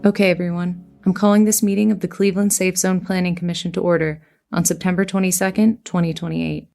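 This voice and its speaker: A woman's voice: fairly low pitch, flat delivery, fast talker, gravelly, darkish tone, soft background music. An actress playing a composed, fair-minded female leader of a survivor settlement, orderly and decisive, in an audio drama.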